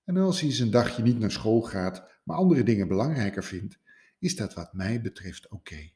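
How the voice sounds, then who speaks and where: A man voicing a calm, relaxed male hedgehog father in a storybook, speaking softly. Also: lowish voice, brisk, close to an everyday voice. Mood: unbothered. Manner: soft, relaxed, unbothered. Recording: roomy echo.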